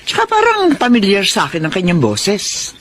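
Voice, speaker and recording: An actress playing a caring, excitable older mother in a radio drama, with a Filipino accent. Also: medium pitch, normal speed, slight background noise. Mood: concerned, reassuring.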